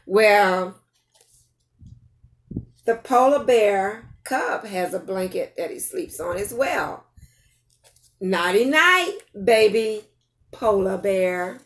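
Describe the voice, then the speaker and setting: Children's storytime host, older female, animated swooping pitch, slightly high voice, unhurried delivery, playful and interactive. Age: older, grandparent. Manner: expressive, playful, addresses children directly. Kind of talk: children's picture-book read-aloud with questions to young listeners.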